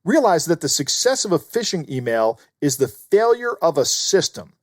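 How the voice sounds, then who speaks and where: A man's voice: on the high side, big pitch swings, moderate pace, no room sound, studio quality. A man co-hosting a podcast, giving earnest, explanatory commentary in a conversational way. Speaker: podcast co-host.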